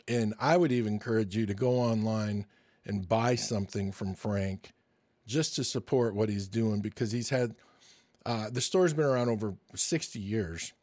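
A man's voice: lowish voice, darkish tone, dry recording. A man in his sixties hosting a podcast, telling stories in a chatty, enthusiastic way and interviewing a guest. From Michigan, US. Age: 60s. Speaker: podcast host. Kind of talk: casual podcast monologue and interview.

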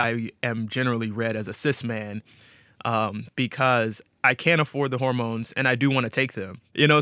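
A man with a crisp, bright voice in a casual interview, recorded dry and close. He talks informally and candidly, like a writer explaining his work, with a self-deprecating streak. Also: mid-range voice, no room sound. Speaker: guest storyteller and writer.

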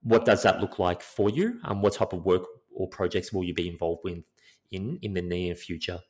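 A man's voice: low-pitched, moderate pace. A man hosting a podcast, rambling and friendly in a casual interview. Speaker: podcast host.

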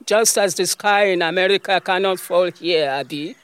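A woman's voice: lowish voice, normal speed, smooth, dry recording, close to an everyday voice. A woman playing an ancient talking olive tree in an audio drama, a stern, motherly spirit with a Nigerian accent and a grand, reproachful way of talking. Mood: accusing.

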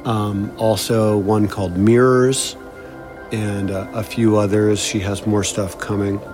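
Male podcast host, lowish voice, casual intro delivery, music behind. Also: level tone, a little slow, a little mellow.

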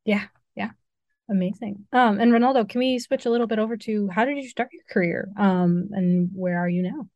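A woman with a mellow, middle-pitched voice hosts a casual interview. She is informal and approving, and the recording is dry and somewhat muffled. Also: brisk, no echo. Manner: brief approving responses, informal. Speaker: interview host.

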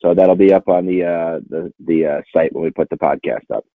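A male podcast host with a fairly low voice asks questions in a friendly, stop-start way. The sound is thin and tinny, like a phone line, with no room around it. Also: fairly even intonation, brisk, dry recording. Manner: friendly, hesitant with many uh fillers. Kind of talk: interview wrap-up and questions.

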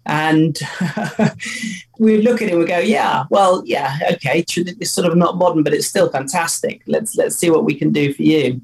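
A man's voice: on the high side, moderate pace, creaky, poor audio. A man, co-founder of a company, answering interview questions in an enthusiastic, loose way with many fillers.

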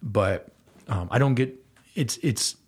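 Husky-voiced man, earnest and rambling. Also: medium pitch, animated, slow, darkish tone. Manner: earnest, rambling with many fillers and restarts. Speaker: guest and volunteer girls' basketball coach. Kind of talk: casual conversation.

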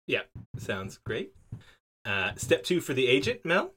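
A man acting as game master for a tabletop game, explaining the rules casually with lots of fillers and quick laughs. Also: mid-range voice, slight background noise. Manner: lively, laughs easily, many fillers. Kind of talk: running a tabletop game session.

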